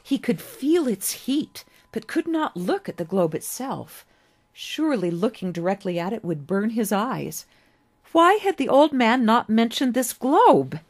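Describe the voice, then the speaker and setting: A woman reading literary fiction aloud as an author would, with an expressive, dramatic storybook delivery and deliberate pauses. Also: fairly high pitch. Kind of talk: expressive scripted story narration.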